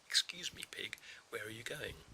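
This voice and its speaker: A man voicing a lost, hungry old man from a storybook in a soft whisper. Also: on the low side, expressive, normal speed. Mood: plaintive.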